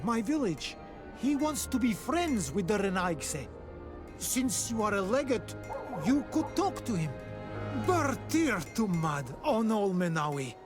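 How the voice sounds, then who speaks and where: Slow, ceremonious islander trader. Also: female voice, on the high side. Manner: ceremonious, deliberate. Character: warm, grateful islander village trader in fantasy game. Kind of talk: fantasy game dialogue.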